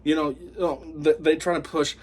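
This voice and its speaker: A man giving an opinionated monologue to camera as a commentator, talking in a scornful, animated way. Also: a little high, moderate pace. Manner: animated, scornful, mocks opponents in put-on voices. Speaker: YouTube commentator.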